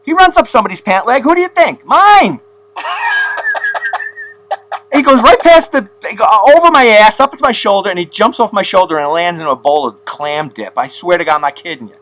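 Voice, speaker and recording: A man's voice: high-pitched, expressive, brisk, sharp tone, rough recording. A man hosting a casual, rambling interview, telling stories and laughing at his own jokes. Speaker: podcast host.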